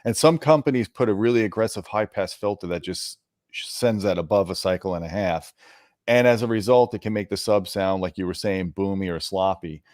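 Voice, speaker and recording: A man's voice: low voice, a little fast, darkish tone, dry recording, studio quality. A man hosting an interview, explaining technical points conversationally and addressing viewers directly. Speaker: host interviewing an audio product manager.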